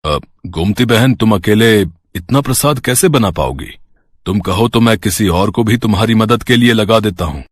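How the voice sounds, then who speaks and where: A man's voice: on the low side, expressive, normal speed, dry recording, studio quality. A man playing a considerate, generous employer who speaks reassuringly in a cartoon story. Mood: helpful. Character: considerate, generous male warehouse boss.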